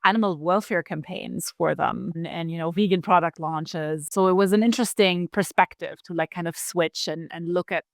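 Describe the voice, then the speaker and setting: A woman's voice: lowish voice, moderate pace, few pauses, no room sound, clean studio sound. A woman in her thirties with a German accent, a guest talking casually in an interview, full of "like" and "you know". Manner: chatty, many fillers like "like" and "you know".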